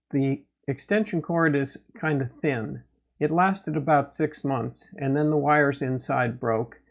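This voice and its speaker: A man hosting a gear review channel, giving a product update in a plain, matter-of-fact, measured way. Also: medium pitch, creaky.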